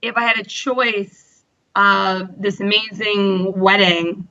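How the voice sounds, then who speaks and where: A woman in her thirties chats through her own story with a bright, forceful tone, full of fillers and little quoted asides. The recording sounds dull and lo-fi. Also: medium pitch, fairly even intonation, takes it slowly. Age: 30s. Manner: chatty, many fillers and quoted self-talk. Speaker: interview guest. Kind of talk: candid personal story.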